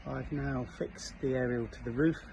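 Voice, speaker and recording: A man's voice: medium pitch, fairly even intonation, normal speed, gravelly, darkish tone. A man presenting an informal hands-on DIY walkthrough, sometimes hesitant and feeling for words as he works. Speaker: presenter of a boat fitting shop's how-to videos. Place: aboard a small cabin motorboat. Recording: dull, lo-fi camera sound with faint noise.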